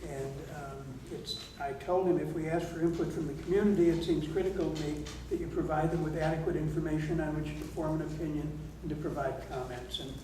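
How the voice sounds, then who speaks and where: A man on a town council, reporting and reading out correspondence in a measured, formal way at a public meeting. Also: on the high side, fairly even intonation, warm tone. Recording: echoey meeting hall, distant mic, noisy.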